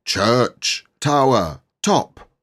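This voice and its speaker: Boy ringleader for a storybook, male reader performing: bossy, bold, emphatic, animated pitch, slightly rough tone. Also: exaggerated voice. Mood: shouting. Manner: commanding, deliberate, emphatic.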